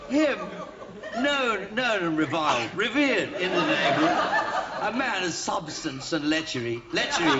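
A man's voice: a little high, very noisy. A tipsy rambling father of the bride. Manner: slurring, stumbling over words, self-correcting malapropisms. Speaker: bride's father giving a wedding toast. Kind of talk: 1980s TV sketch comedy. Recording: dull old TV sketch with loud audience laughter. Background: studio audience laughing.

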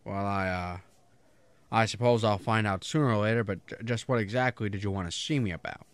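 An actor playing an earnest, kindly small-town man in a radio drama, folksy and a little halting. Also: lowish voice, lightly acted. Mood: wary, polite.

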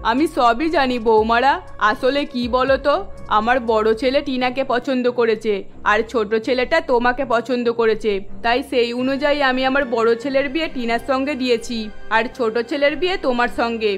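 A woman's voice: fairly high pitch, normal speed, soft background music, lightly acted. A woman playing a stern older mother-in-law in a cartoon, speaking in an emphatic, scolding way. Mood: welcoming, matter-of-fact. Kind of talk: Bengali cartoon voice acting.

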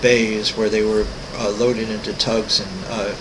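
A man in his sixties, an artist walking through his website, talking casually with many ums, pauses and restarts. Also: on the low side, little pitch movement, noisy background. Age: 60s. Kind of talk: casual screen-recorded walkthrough.

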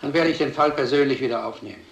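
An actor playing an earnest, stubborn police detective, speaking formally and with measured insistence in an old crime film. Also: male voice, medium pitch, vocal fry, slight background noise, close to an everyday voice. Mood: earnest, insistent. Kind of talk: vintage German crime film acting. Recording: roomy old film soundtrack.

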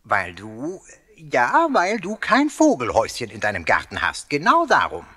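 A comical, cheeky ghost for a children's radio play. The voice is sharp and rough-edged, swooping wildly up and down. It is boastful and huffy, tripping over words and breaking into whoops. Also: male voice, mid-range voice, huge pitch swings, moderate pace, full character voice. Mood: flustered. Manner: huffy, stammering, exclaims in whoops.

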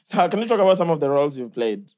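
A woman's voice: deep voice, big pitch swings, racing, darkish tone. A woman from Kenya co-hosting a podcast, asking questions and teasing, mixing English and Swahili. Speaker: podcast co-host. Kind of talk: casual podcast chat. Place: podcast studio.

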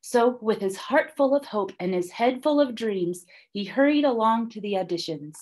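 A young woman reading a children's story aloud like a teacher, deliberately and with dramatic emphasis. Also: a little high, animated, darkish tone, poor audio. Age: young adult. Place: small classroom, indoors, by a whiteboard.

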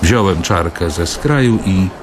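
An actor playing a world-weary, brooding man who narrates a radio drama in a measured, literary, reflective way. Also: sits low, animated, crowd noise. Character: world-weary, brooding man recalling a wartime-era evening.

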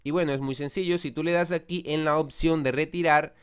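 A young man hosting a screen-recorded tutorial, talking the viewer through each step in a chatty way and often checking in with a quick question. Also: a little high, fairly even intonation, smooth. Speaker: channel host.

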